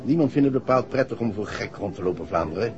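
An actor playing a brusque, proud, suspicious husband, speaking curtly in a 1960s radio drama. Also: male voice, on the low side, lively intonation, fast, close to an everyday voice. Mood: cool, irritated. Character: brusque, proud male husband suspecting his wife. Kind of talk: 1960s radio play acting. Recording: dull old radio recording with music.

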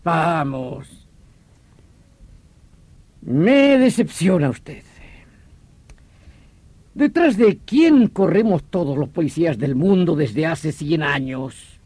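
Excitable, mellow-toned French police chief. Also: male voice, a little high, slow pace, long pauses, no room sound. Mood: exasperated. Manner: theatrical, emphatic, condescending. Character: excitable French police commissioner, irritable and insistent boss. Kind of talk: old radio drama acting.

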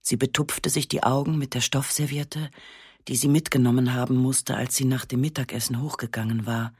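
A woman reading a literary first-person story in a sober, measured, matter-of-fact way. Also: rumbling low, flat delivery, husky, no room sound, studio quality. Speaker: narrator.

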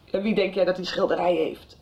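An actress playing a desperate, bankrupt woman who makes a halting confession about a revenge plot in a radio soap. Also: fairly low pitch, fairly even intonation, close to an everyday voice. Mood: bitter, hushed. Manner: broken, halting confession, swinging between sobbing and hushed bitterness. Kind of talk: 1990s radio soap acting. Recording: dull lo-fi radio recording, distant mic.

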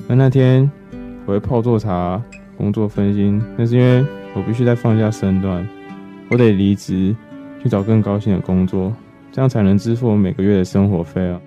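A man's voice: lowish voice, natural voice. An actor playing a weary man in his twenties who shoulders his family's burdens, confiding and reflective, in a radio drama. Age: 20s. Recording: slightly muffled, guitar music behind.

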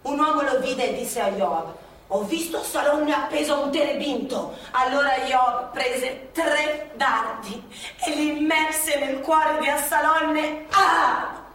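An actress playing a spirited, rebellious schoolgirl telling her story in a lively, defiant way, recorded on a theatre stage. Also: high voice, slow, far from the mic. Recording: echoey stage recorded from afar, hiss.

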